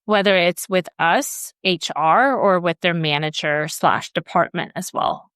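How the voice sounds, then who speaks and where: A woman's voice: lowish voice, measured pace, a bit bright, clean tone, dry recording, clean studio sound. A woman from Sweden who grew up there and now works in HR, answering interview questions in a hesitant way with many fillers and restarts. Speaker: HR guest.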